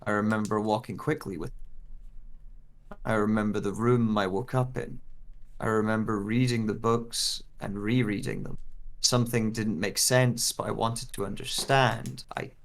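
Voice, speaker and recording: A man playing a bewildered man with lost memory, speaking an uneasy, deliberate monologue in a narratorial way for an audio drama. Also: on the low side, fairly even intonation, close to an everyday voice. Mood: haunted, wistful.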